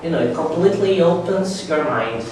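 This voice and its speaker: Man, language teacher, relaxed, says "you know" a lot, far from the mic. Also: on the high side, normal speed. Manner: relaxed, confident, peppered with 'you know'. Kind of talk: class project interview. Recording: roomy echo, distant mic, faint noise.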